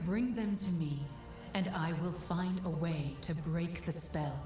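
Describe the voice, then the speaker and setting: An actress playing a solemn mystic guide in a video game, speaking in a measured, deliberate way. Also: female voice, on the low side, close to an everyday voice. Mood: solemn guiding. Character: solemn mystic woman guide advising a warrior. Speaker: guide character. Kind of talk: video game dialogue, instructing. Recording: roomy echo, music underneath. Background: game music.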